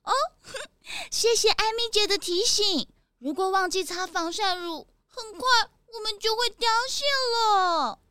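Very high, coy flower-like lady. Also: bright, forceful tone, slight background noise, exaggerated voice. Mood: coy, affected. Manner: coy, drawn-out, affected feminine delivery. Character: vain, coy young lady, delicate as a flower. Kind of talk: children's audio drama acting.

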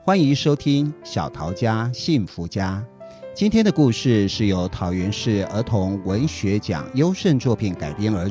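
A man's voice: fairly low pitch, dark tone. A middle-aged man giving a scripted introduction to a story for children.

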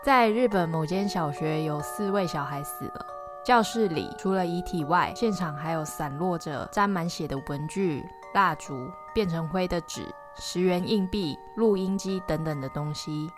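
A woman's voice: on the low side, normal speed. Woman narrating a scripted story over music. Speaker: podcast host and story narrator.

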